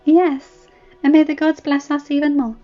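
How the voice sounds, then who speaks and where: A woman's voice: high-pitched, little pitch movement, moderate pace, dark tone, voice pushed up, close to an everyday voice. A woman voicing a bold, earnest young noblewoman in a fantasy story, with direct and formal speech. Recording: muffled audio with music.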